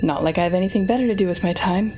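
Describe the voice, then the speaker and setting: A woman's voice: lowish voice, natural voice. Woman acting as a sardonic telepathic villainess over music. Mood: grudging, exasperated. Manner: dramatic, wry, exasperated, halting when flustered. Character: sardonic telepathic supervillain woman, menacing, reluctantly softening. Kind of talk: audio roleplay acting addressed to the listener.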